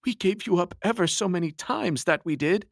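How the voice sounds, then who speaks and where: A man voicing a cheerful, excitable male friend at a sickbed, in a raised voice. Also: sits high, moderate pace, creaky, no echo, studio quality, pitched above the performer's own voice, full character voice. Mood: relieved.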